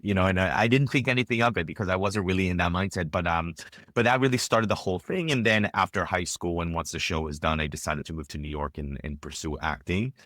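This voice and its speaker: A deep-voiced man talks through his story in loose, rambling sentences full of "um" and "you know." The sound is close and dry, with no room around it. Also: a bit bright, dry recording. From Israel. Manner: rambling, many fillers and restarts. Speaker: podcast guest, filmmaker. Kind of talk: casual interview chat.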